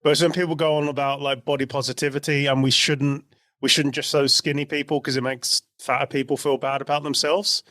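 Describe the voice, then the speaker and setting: A man's voice: mid-range voice, flat delivery, fairly quick, bright, forceful tone, dry recording, clean studio sound. A British man speaking casually and informally as a podcast co-host, freely giving his opinions. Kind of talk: casual chat.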